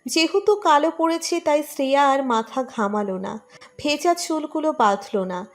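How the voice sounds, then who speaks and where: A woman's voice: high voice, lively intonation, moderate pace. A woman reading a scripted romantic story as its narrator.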